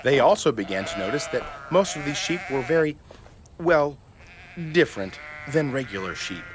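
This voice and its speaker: A man narrating a scripted family story in an expressive storytelling manner. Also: mid-range voice, normal speed, background noise.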